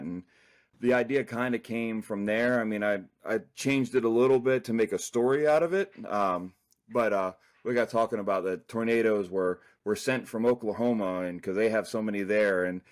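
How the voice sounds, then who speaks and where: A man talking as a guest author in a casual interview, rambling loosely with many fillers. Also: lowish voice.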